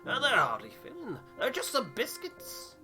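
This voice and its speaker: Man doing an indignant, higher-pitched young crewman. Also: huge pitch swings, normal speed, over music, heavily acted. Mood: indignant, defensive. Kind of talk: voiced game dialogue.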